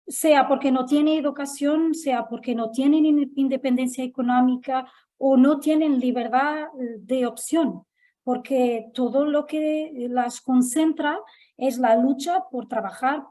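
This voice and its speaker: A woman from Portugal speaking with a Portuguese accent, giving a halting panel talk full of fillers and restarts. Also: sits high, warm tone. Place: Lisbon.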